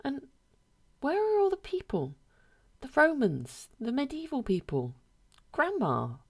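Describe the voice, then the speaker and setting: A woman's voice: sits high, huge pitch swings, measured pace, a little mellow, voice pushed up, full character voice. A woman voicing a newly dead female ghost in a story reading, wistful and questioning. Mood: puzzled.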